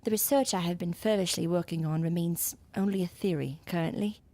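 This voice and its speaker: A woman's voice: fairly low pitch, fairly quick, darkish tone, no room sound, lightly acted. A woman playing an eccentric, imperious doctor in a gothic audio drama, with theatrical, archaic and knowing delivery. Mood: confiding, intense. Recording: music under the voice.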